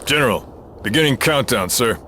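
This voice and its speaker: A man playing a dutiful military officer in an animated game cutscene, barking out procedure in a clipped, deliberate way. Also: mid-range voice. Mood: alert, obedient. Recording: noisy background.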